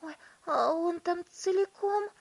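A woman voicing a frantic, anxious mother with a shrill, wailing delivery in an audiobook story. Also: slow pace, gravelly, heavily acted. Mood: horrified, worried.

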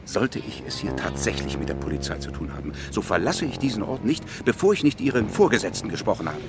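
An actor playing a haughty, punctilious French physician in a German radio crime drama, pompous and formal. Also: male voice, fairly low pitch, very animated, fast talker, over music. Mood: indignant, imperious.

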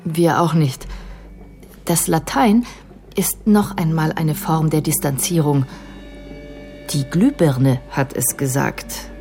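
An actress playing a composed female care professional in a radio drama, explaining a patient's case in a measured, analytical way. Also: low voice, a little mellow, soft background music. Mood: calm, matter-of-fact.

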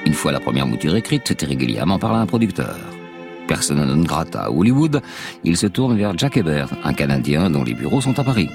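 A man's voice: rumbling low, very animated, fairly quick, creaky. A man narrating a scripted radio documentary as its host, telling the story dramatically and posing rhetorical questions. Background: music under the voice.

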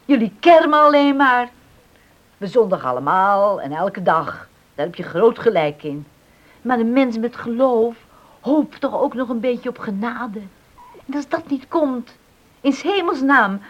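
A woman's voice: fairly high pitch, animated, a little slow, dull audio. An actress playing a blunt, devout, protective middle-aged mother in a Dutch radio drama, speaking emphatically and homely. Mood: scolding. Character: plain-spoken, devout mother, defiant and protective.

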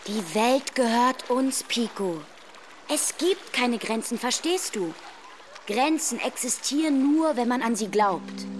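A woman's voice: a little high, animated, slow, noisy background. An actress playing a bold, rebellious young woman, emphatic and provocative, in slangy radio play monologue over music. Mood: conspiratorial, fervent.

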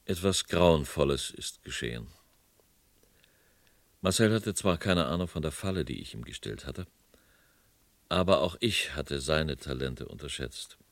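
An actor playing a remorseful police inspector, narrating his case gravely and deliberately in a 1970s radio crime drama. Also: very deep voice, animated, dry recording, full character voice.

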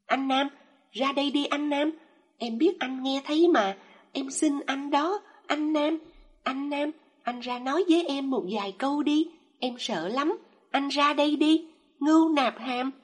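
A woman's voice: sits high, measured pace, pitched above the performer's own voice. A woman voicing a desperate, hysterical mother who screams and begs through tears. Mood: pleading.

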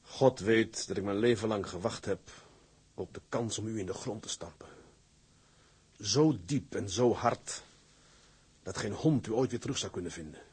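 Proud, husky sea-tug captain. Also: male voice, fairly low pitch, moderate pace, long pauses, darkish tone. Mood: determined, bitter. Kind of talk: Dutch radio play acting.

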